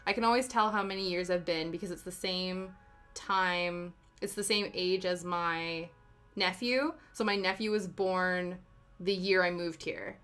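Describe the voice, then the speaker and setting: A woman's voice: lowish voice, fairly even intonation, measured pace, fairly bright tone, clear, resonant tone. A young woman from Canada chatting casually with viewers on a live stream, answering chat with giggly asides. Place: home, living in the UK. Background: faint background music.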